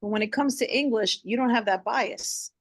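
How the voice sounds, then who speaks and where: A woman's voice: fairly high pitch, normal speed, dry recording. A woman who is a teacher, speaking with conviction in a group discussion and dropping in Spanish phrases. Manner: impassioned, mixes in Spanish phrases. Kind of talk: discussion contribution.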